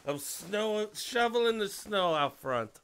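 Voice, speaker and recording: A man playing a friendly, lively regular at a tavern in a radio play. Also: high voice, slow pace, slight background noise, heavily acted. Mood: friendly, animated.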